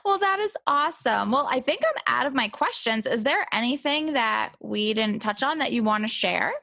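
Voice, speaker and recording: A woman's voice: fairly high pitch, expressive, moderate pace, vocal fry, pushed and bright. A woman hosting a podcast in an enthusiastic, informal, chatty way. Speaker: podcast host. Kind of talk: casual chat.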